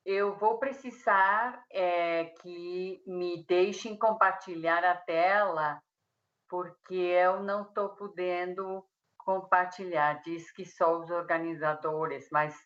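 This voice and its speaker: A Mexican woman, a speech therapist, speaking Portuguese with a Mexican Spanish accent, halting with many fillers and restarts on a video call. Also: fairly low pitch, fairly even intonation, fairly bright tone, muffled recording. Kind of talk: hesitant conference talk. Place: room at home.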